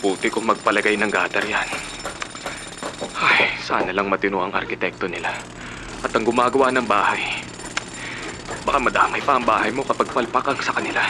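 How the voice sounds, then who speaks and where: A man's voice: on the low side, fairly even intonation, fairly quick, pauses often, noisy background, close to an everyday voice. A man playing an outspoken, generous elder brother in a Filipino radio drama, with a Filipino accent and an emphatic, sardonic delivery. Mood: inquiring.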